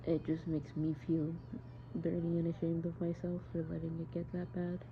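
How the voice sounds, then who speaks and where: A young adult woman talking haltingly and informally, as if into a personal audio diary. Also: lowish voice, little pitch movement. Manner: hesitant, halting, informal with swearing. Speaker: audio diarist. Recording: muffled home recording, clear background noise. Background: background noise, friends' voices.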